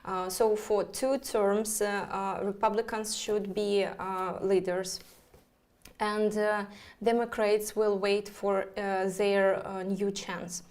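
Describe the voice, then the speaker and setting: A woman astrologer with a Russian accent, speaking haltingly with many fillers as she talks to viewers of her live stream. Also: mid-range voice, level tone, darkish tone.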